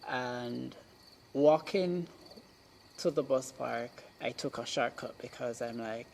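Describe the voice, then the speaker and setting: A person with a Jamaican accent giving a personal testimony in halting, deliberate short phrases. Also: medium pitch, creaky, darkish tone, slight background noise. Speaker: documentary subject telling own story. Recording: music playing under the voice. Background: music.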